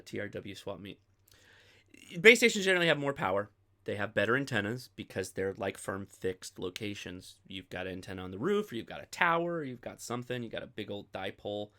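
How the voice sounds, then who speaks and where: A man's voice: mid-range voice, bright, forceful tone. An American man hosting a ham radio livestream in a casual, chatty way that rambles and digresses. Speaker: host of a ham radio live stream.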